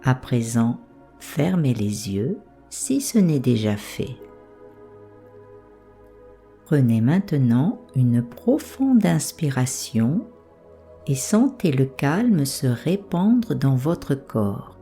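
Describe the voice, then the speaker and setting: A woman guiding a yoga nidra relaxation, giving calm, soothing, measured instructions with pauses. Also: extremely low pitch, faint music behind. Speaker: meditation guide.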